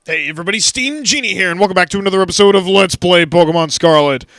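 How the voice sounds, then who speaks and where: A man's voice: sits high, fast, fairly bright tone, no echo, studio quality. A man hosting a let's play, talking casually with jokes, swearing and lots of fillers. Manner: joking, rambling, swears, many fillers. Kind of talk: casual gameplay commentary.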